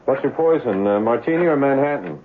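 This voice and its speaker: An actor playing an easygoing, wry husband in a 1970s radio drama. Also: male voice, medium pitch, animated, fairly quick, natural voice. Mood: breezy, relaxed. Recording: tinny, faintly noisy old radio broadcast.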